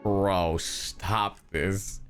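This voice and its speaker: Woman streaming a game, real deep voice, slow, swears a lot, casual and hyped. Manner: excitable, profane, slangy. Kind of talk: casual live game reaction. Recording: game music faintly behind. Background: game music and dialogue.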